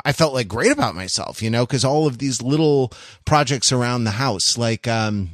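A man's voice: fairly high pitch, fairly bright tone, dry recording, clean studio sound. A man chatting casually as a podcast co-host, rambling and wry, with mock-grandiose turns of phrase.

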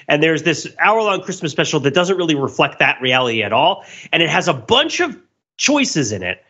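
A man with a lively, wide-swinging delivery and a bright, forceful voice talks as a podcast co-host. He is emphatic and analytical in a casual way, and the audio is slightly dull. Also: on the high side. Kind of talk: casual pop culture discussion.

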